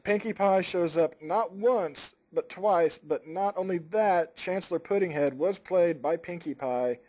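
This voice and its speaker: A man's voice: sits high, measured pace, darkish tone. A man making casual comments as part of a group reading.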